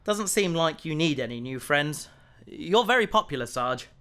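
Hesitant young male recruit for sci-fi audio drama: fairly high, bright tone, modest and sincere. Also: animated, normal speed, clean tone. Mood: glum. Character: earnest, awkward young male recruit from wealthy family. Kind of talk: science fiction audio drama acting.

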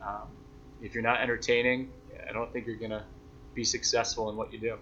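A man's voice: on the low side, little pitch movement, brisk, pushed and bright. A young man answering interview questions in a reflective, self-deprecating, conversational way, as a sports broadcaster. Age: young adult. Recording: dull lo-fi sound, noisy background.

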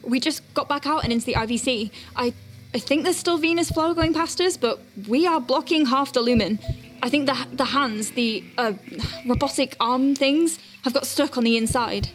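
A woman's voice: sits high, moderate pace, soft background music, lightly acted. An actress playing an anxious, wry woman medic piloting a tiny craft in a sci-fi audio drama, hesitant under pressure. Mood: tense.

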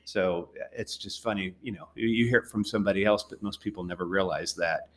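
A man's voice: low voice, brisk. An American man hosting an interview, chatting and rephrasing the guest's ideas to check them. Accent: General American. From United States. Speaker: podcast host. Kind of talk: interview questions.

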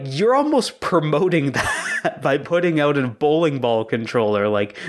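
A man co-hosting a casual podcast chat, joking and laughing as he rambles. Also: on the high side. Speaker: podcast co-host.

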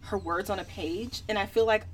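A woman's voice: medium pitch, normal speed. A woman co-hosting a book podcast, talking casually in a candid, opinionated, colloquial way. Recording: distant mic with background noise.

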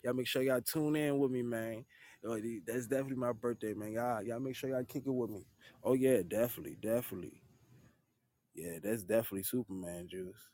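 This is a relaxed man in his thirties chatting live with his audience. His voice sits at an ordinary pitch with a warm, mellow tone. The recording is dry and close, and he speaks earnestly, coming back to the same phrases. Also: no room sound. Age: 30s. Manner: laid-back, repeats "man" at line ends. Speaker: livestream host. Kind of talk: casual livestream chat with viewers.

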